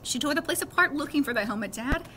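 A middle-aged woman voicing an earnest, indignant fifth-grade boy from a storybook in an animated, emphatic way. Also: sits high, fast, slight background noise, voice pushed up.

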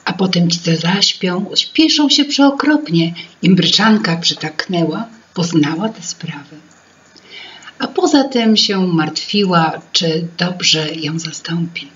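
A woman in her fifties narrating a children's fairy tale in an expressive, theatrical, deliberate way. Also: lowish voice, muffled recording, slight background noise. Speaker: storyteller. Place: indoor room with paintings.

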